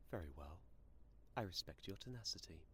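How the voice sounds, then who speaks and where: A man playing a menacing, condescending inhuman entity in an audio drama, speaking in a sardonic, theatrical and deliberate manner. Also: sits low, bright, forceful tone, a little hiss or hum, full character voice.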